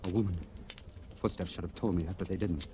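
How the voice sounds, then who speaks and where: A man's voice: low-pitched, steady tone, fast talker, background noise, natural voice. An actor playing an embittered, despairing young American man, bitter and intense, in a 1940s radio drama. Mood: haunted, brooding. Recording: vintage 1940s radio recording, music underneath.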